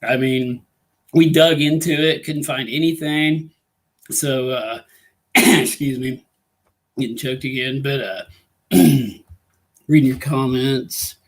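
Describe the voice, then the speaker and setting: A Midwestern American man with a hoarse voice from a lingering cold, clearing his throat often. He hosts a casual live stream, reading viewer comments and rambling with plenty of fillers. His pitch sits right in the middle for a man. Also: steady tone, takes it slowly, pauses often. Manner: rambling, many fillers like "you know" and "uh". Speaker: ghost hunting talk show host.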